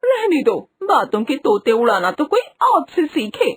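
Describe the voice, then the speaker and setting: An outspoken village wife from a cartoon fable, put on by a woman, with a high, swooping voice. She is exasperated, nagging her husband with sarcasm. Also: huge pitch swings, a little slow, slightly rough, no echo, exaggerated voice. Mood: sarcastic. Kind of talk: Hindi cartoon moral story dub.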